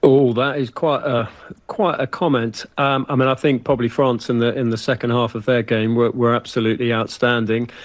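A man with an English accent giving football analysis as a news guest, recorded with a dry, close sound. He rambles in a friendly way, doubling words as he thinks, at an ordinary pitch. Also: flat delivery, fairly quick, dry recording. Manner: chatty, repeats himself while thinking. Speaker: football writer and columnist. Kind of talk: interview guest.

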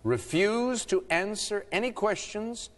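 A man's voice: high voice, takes it slowly, long reverb tail. A man hosting a radio quiz show, reading clues and bantering with the contestants in a wry, playful way. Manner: lively, wry, playful asides.

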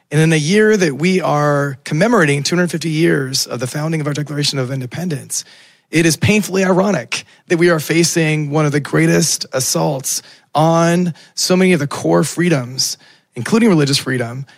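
A man who is a Baptist minister, speaking as a panelist with a raspy voice from illness, earnest and thoughtful. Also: on the high side, no room sound. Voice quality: gravelly. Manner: earnest, thoughtful, with small laughs. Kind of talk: panel discussion remarks.

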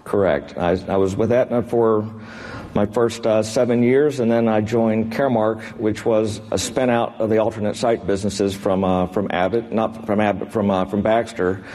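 An American man in his sixties from Texas answering interview questions in a halting, measured way, as a business executive. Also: on the low side, fairly even intonation, warm tone, big-room sound. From Texas, United States. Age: 60s. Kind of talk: unscripted on-stage interview answers. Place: large indoor event room before an audience.

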